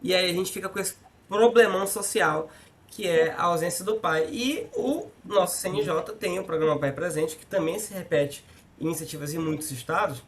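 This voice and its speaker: A man's voice: sits high, normal speed. A man hosting a podcast, a judge himself, who tells anecdotes and acts out the dialogue in them. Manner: animated storyteller who acts out quoted dialogue.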